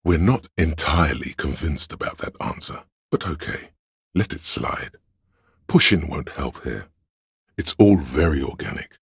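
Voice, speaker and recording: Game role: scheming courtly adviser, extremely deep husky bass, grandiloquent and obsequious, close dry sound. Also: male voice, lively intonation, a little slow, dry recording, exaggerated voice. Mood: sly, confiding. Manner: theatrical, grandiloquent, addressing a liege. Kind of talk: scripted game voice lines, archaic courtly speech.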